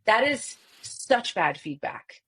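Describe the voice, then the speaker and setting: A woman's voice: medium pitch, big pitch swings, slow pace, fairly bright tone, husky. A woman voice coach speaking expressively as a podcast guest, often laughing and acting out short vocal examples. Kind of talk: animated podcast interview.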